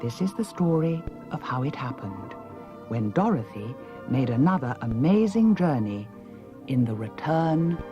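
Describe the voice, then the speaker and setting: A woman with a British accent reading a children's story as an expressive audiobook narrator. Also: very deep voice, unhurried, highs cut off, over music. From United Kingdom.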